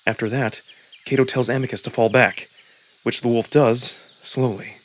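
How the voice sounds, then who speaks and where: A man's voice: mid-range voice, fairly even intonation, normal speed, slight background noise. A man narrating a visual novel in the first person, theatrical and immersed in the story. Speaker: narrator and voice performer. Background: music under the voice.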